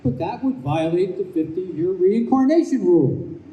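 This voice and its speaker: A man's voice: high voice, lively intonation, normal speed, muffled recording. A man playing a formal, officious gatekeeper of heaven in an old-time radio play. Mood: measured, regretful. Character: officious, patient heavenly gatekeeper, a male spirit. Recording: echoey noisy live stage, distant microphone.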